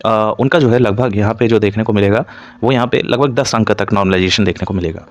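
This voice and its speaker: A man's voice: on the low side, fast. A man giving an informal analytical lecture as a coaching instructor, mixing in English terms and repeating points as he explains. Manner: Hindi mixed with frequent English terms.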